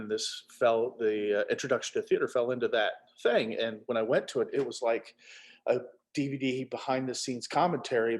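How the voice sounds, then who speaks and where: Middle-aged man, casual guest chat, mid-pitched, halting, wry, self-deprecating. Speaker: guest, author.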